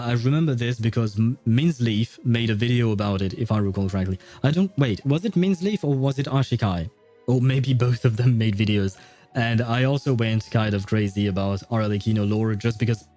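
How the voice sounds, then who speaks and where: A man's voice: on the low side, a little mellow. A man livestreaming a video game, chatting casually with viewers in rambling, self-deprecating banter. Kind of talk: casual livestream chat while gaming.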